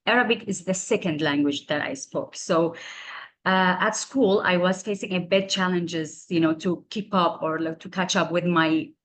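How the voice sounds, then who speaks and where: Syriac-speaking woman in her 30s giving a talk on a video call, lowish voice, earnest, searches for words. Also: moderate pace, fairly bright tone, a little hiss or hum. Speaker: guest speaker. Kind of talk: online presentation to students.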